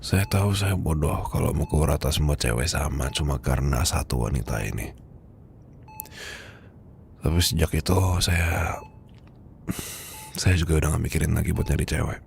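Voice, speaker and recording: A man playing a weary, heartbroken boss confiding from his sickbed in an ASMR roleplay, with an Indonesian accent and a hesitant, breathy, murmuring delivery. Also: a bass voice, a little hiss or hum. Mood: subdued, confiding. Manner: hesitant, murmuring, halting, sighing.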